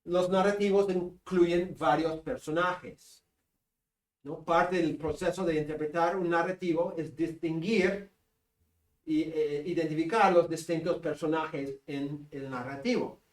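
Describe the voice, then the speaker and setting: A man with a northern Peruvian Spanish accent giving a seminary class lecture, joking with students and pausing on fillers. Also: on the high side, fairly even intonation. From Peru. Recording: echoey classroom from a distance.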